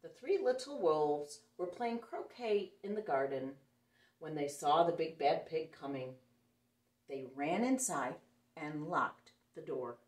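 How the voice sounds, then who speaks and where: Woman, storybook reader, sing-song, animated, low voice, roomy, a bit off-mic. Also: takes it slowly. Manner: sing-song, playful, expressive. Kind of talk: reading a picture book aloud to children. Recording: echoey room, mic some distance away.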